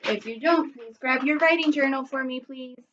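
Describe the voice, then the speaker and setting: A woman teaching young children in a storytime lesson. Her voice sits fairly high, with expressive delivery and simple, instructive phrasing. The home recording sounds slightly muffled. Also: moderate pace, noisy background. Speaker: primary school teacher. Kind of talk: children's read-aloud and lesson.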